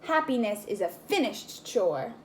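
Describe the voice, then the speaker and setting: A woman's voice: mid-range voice, sing-song, unhurried, a little mellow, a little hiss or hum. Floyd, a fretful ant, voiced by a woman. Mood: anxious. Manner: nervous, urgent, scolding. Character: anxious rule-bound male sand ant. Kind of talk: storybook read-aloud.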